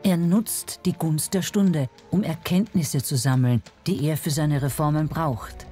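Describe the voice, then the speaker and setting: A woman reading scripted documentary narration in a measured manner with dramatic pauses. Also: low-pitched, mellow. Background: faint music.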